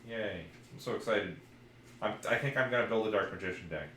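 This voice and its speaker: A man's voice: lowish voice, fairly quick, bright, forceful tone. A man chatting casually as a podcast co-host and reading out trading cards as he goes. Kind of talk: casual chat while opening trading card packs. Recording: echoey room, faint background noise.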